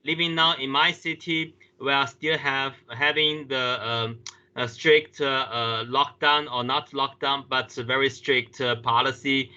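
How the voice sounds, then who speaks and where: A man, a professor answering questions at an online conference in halting English with many fillers. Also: mid-range voice, fairly even intonation, bright, forceful tone. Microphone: video call. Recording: muffled video call audio.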